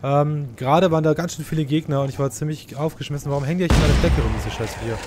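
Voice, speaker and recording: A man's voice: on the high side, fast talker, a little mellow, a little hiss or hum. Man doing casual gaming commentary. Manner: slangy, playfully griping, talks to the game's characters. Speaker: let's player.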